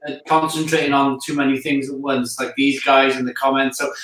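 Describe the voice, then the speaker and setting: A man's voice: mid-range voice, level tone, brisk, fairly bright tone, very echoey, low-quality recording. A man hosting a live stream, reading out viewer comments in a hesitant way with many ums. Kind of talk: casual live-stream chat.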